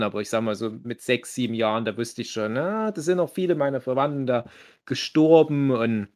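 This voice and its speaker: German male, thirties, medium pitch, relaxed conversational storyteller, thoughtful and explanatory. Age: 30s. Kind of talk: casual reminiscing chat.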